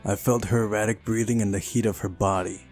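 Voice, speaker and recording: Male let's play host, lowish voice, reading visual novel text with exasperated reactions, light music underneath. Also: steady tone, fast, darkish tone. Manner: incredulous, exasperated asides. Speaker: video game playthrough host.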